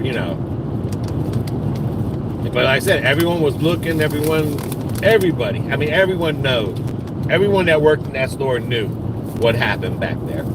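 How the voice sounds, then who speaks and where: A man vlogging while driving, chatting casually and rambling, repeating himself as he retells a story. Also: medium pitch. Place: in a car on the road. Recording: loud road and car noise. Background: car and road noise.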